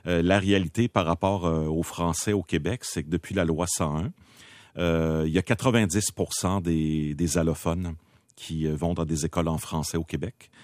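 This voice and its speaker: A man with a Québécois French accent debating as a political commentator on a panel, measured and full of hesitations. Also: low voice, fairly even intonation, darkish tone, dry recording, studio quality.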